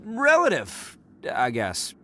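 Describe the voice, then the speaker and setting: An actor playing a cocky, boisterous young brawler with a good heart in a video game, speaking with swagger. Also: male voice, a little high, huge pitch swings, slow, fairly bright tone, a little hiss or hum, heavily acted. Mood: offhand, confident. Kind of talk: video game hero acting.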